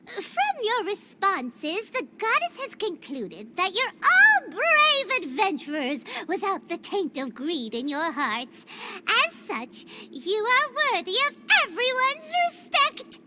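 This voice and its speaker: A woman voicing a tiny, excitable, boastful fairy companion in a video game, with a squeaky childlike voice and theatrical manner. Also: slow pace, very noisy, full character voice. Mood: mock-grand. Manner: refers to self in third person, theatrical. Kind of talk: animated video game voice acting.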